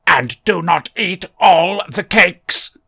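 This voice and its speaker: A man voicing a slow, metallic-sounding robot alien who gives stiff commands. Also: high voice, lively intonation, vocal fry, bright, forceful tone, breathy edge, voice pushed up, full character voice. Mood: commanding.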